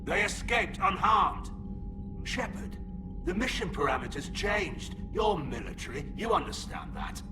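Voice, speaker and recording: A man's voice: sits high, big pitch swings, unhurried, background noise. A man playing a proud, defensive male alien military admiral in a video game, curt and self-justifying.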